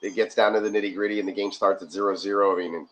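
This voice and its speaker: Male co-host, low voice, rambling and laughing, phone mic in a garage with faint noise. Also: level tone, rapid-fire, fairly bright tone, rough recording. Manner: laughs often, rambling and chatty. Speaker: watch party co-host. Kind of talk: casual livestream chat.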